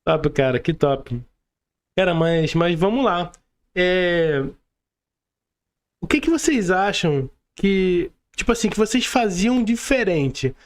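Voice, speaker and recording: A man's voice: high-pitched, lively intonation, slow pace. A man with a Brazilian accent, hosting a casual podcast interview. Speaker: podcast host.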